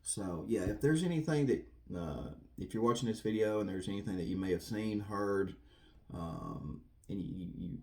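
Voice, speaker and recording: A man's voice: lowish voice, moderate pace, a little mellow. A man giving casual, rambling commentary to viewers in an earnest, folksy way, repeating himself for emphasis. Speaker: true crime video host.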